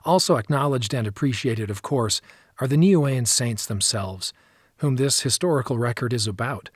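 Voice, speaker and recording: A man's voice: medium pitch, moderate pace, breathy edge, no room sound, studio quality. A man narrating an audiobook, reading from a written script.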